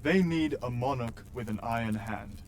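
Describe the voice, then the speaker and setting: An actor as an earnest young nobleman in a medieval game, respectful and explanatory. His voice is mid-pitched, with a faint rumble behind it. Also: moderate pace, natural voice. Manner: polite, explanatory. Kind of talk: video game cutscene acting.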